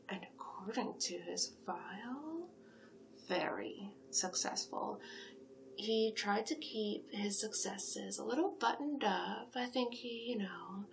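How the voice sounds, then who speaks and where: A woman playing a flattering, gossipy sphinx matchmaker in a soft-spoken, whispery ASMR roleplay. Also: medium pitch, creaky, slight background noise. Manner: coaxing, flattering, chatty, whispery in places.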